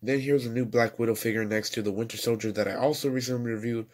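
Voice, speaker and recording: A young man reviewing toys at home in a rambling, chatty way, his voice going hoarse. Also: mid-range voice, steady tone, quick pace, darkish tone. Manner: rambling, chatty, self-correcting. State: losing his voice. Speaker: toy reviewer. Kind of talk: casual toy review voice-over.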